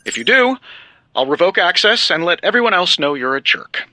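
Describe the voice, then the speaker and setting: An actor playing a flippant superhero inventor in a video game cutscene, sarcastic and chatty with nervous bravado and hesitant asides. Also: male voice, sits high, very animated, a little fast, a little hiss or hum, heavily acted. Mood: breezy, self-assured. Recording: loud music underneath. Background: music.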